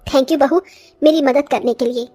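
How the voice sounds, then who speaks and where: A woman voicing a short older village mother-in-law in a cartoon story, complaining and exclamatory but grudgingly soft-hearted. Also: high-pitched, normal speed, close to an everyday voice. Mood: warm. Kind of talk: Hindi cartoon story voice acting.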